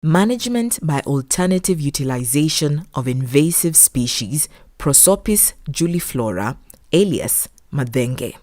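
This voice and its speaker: Female documentary narrator, low and mellow, lively intonation, measured formal delivery. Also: dry recording. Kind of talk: scripted narration.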